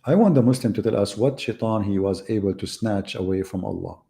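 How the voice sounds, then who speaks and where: Gravelly, mocking man on a live debate. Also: on the low side, brisk, dark tone. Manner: mocking, sarcastic rhetorical questions. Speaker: debate host. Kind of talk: live online debate monologue.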